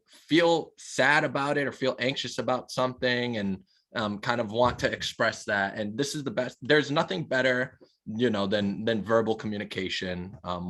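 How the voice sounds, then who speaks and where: A man's voice: on the low side, a bit bright. A man hosting an interview, encouraging and affirming toward his guest. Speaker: host.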